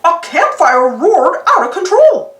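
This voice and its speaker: A woman's voice: very high-pitched, big pitch swings, unhurried, a bit bright, echoey room, pitched above the performer's own voice, heavily acted. A woman voicing a goofy, boastful but good-hearted male cartoon dog, proud and eager.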